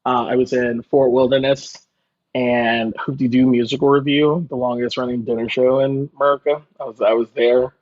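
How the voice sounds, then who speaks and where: Guy chef just chatting, jokey and self-deprecating, medium pitch, bit muffled lo-fi sound. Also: level tone, normal speed. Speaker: guest chef. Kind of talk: casual conversational storytelling.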